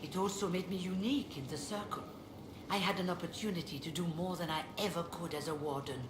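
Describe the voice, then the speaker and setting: A woman voicing a weary, dignified mage leader in a video game, speaking in a measured, formal, reflective way. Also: lowish voice, natural voice. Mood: wry, reflective. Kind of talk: video game dialogue acting. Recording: roomy echo, clearly noisy game capture.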